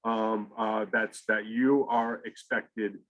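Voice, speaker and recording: A man's voice: lowish voice, level tone, slow pace, fairly bright tone, rough recording. Man giving an informal explanatory presentation. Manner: conversational, step-by-step explaining. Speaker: college counseling director presenting to parents.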